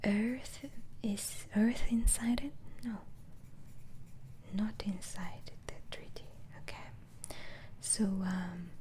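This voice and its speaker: A woman whispering in a breathy ASMR roleplay as a patient doctor, asking questions gently and hesitantly. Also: medium pitch, extremely slow. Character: polite, patient female asylum doctor interviewing a patient.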